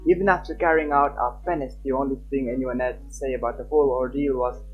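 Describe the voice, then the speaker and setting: A man live-streaming a game, reading aloud and chatting, halting at times and stumbling over his words. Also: medium pitch, fairly even intonation, husky, phone-quality sound. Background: game music.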